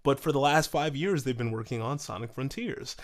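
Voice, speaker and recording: A man's voice: mid-range voice, brisk. A man co-hosting a podcast, giving casual opinions in a conversational way. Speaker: podcast co-host.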